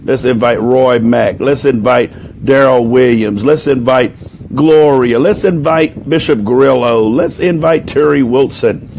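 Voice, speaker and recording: A man speaking as a life coach and minister, preaching on a live stream into a microphone. His voice is hoarse and nearly gone, and he repeats phrases as he urges listeners on. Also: mid-range voice, unhurried, darkish tone, a little hiss or hum. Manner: repeats phrases for emphasis, urging and exhorting. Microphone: microphone, put on partway through.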